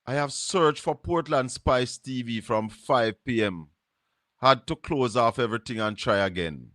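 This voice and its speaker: A Jamaican man with a Jamaican accent hosting a live stream, musing slowly and slipping into patois. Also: medium pitch, fairly bright tone, no room sound, clean studio sound. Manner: slow, musing, drops into patois. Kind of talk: casual live-stream commentary.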